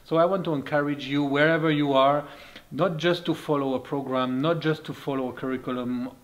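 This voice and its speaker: A man's voice: on the high side, brisk. Earnest Swiss man. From Switzerland. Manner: earnest, non-native phrasing, steady. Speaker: youth ministry leader. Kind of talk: personal testimony and encouragement.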